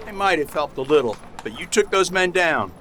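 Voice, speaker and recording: Friendly western town doctor. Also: male voice, a little high, expressive, a little fast, a bit bright. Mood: friendly, grateful. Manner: cordial. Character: friendly, grateful male frontier town doctor. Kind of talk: western radio drama acting. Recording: outdoor street ambience, noisy, slightly distant.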